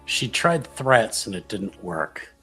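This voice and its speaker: Man playing a husky, protective male adventurer. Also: lowish voice, very animated, slow pace, fairly bright tone, faint music behind, voice pushed up, full character voice. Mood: stern, concerned. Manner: terse, cautioning, sincere. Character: protective, quietly disapproving male adventurer named Buccio. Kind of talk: in-character improvised roleplay.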